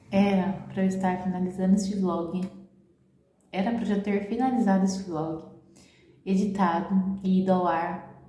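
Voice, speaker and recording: A Brazilian woman chatting casually at home for a reading vlog, often ending sentences with a quick "right?". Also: mid-range voice, mellow. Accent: Brazilian Portuguese. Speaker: book vlogger. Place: at home, echoey room. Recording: very echoey room, faint background hiss.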